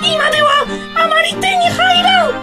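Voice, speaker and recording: A man playing an excitable, blustering old ant professor in a Japanese-style cartoonish radio drama, using old-fashioned elderly speech. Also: very high-pitched, a little slow, over loud music. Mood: emphatic explaining.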